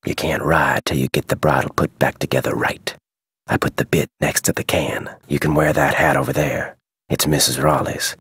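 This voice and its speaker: Very deep, husky cowboy wrangler. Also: male voice, level tone, moderate pace, a bit bright, no echo, clean studio sound, exaggerated voice. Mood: gruff, instructing. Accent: American Western cowboy drawl. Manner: terse, folksy, brusque drawl. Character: gruff, guarded ranch head wrangler, curt and suspicious. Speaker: video game character, head wrangler. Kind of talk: scripted game dialogue.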